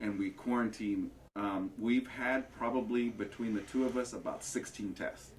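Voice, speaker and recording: A man's voice: on the low side, steady tone, normal speed, a crowd in the background, low-quality recording. A man hosting a casual talk show, asking questions in an easygoing way. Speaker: podcast host. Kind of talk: casual chat.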